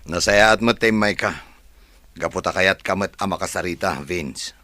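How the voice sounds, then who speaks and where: A man voicing a calm, decisive male farm owner with an Ilocano accent, formal in manner. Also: sits low, moderate pace, no room sound. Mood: measured.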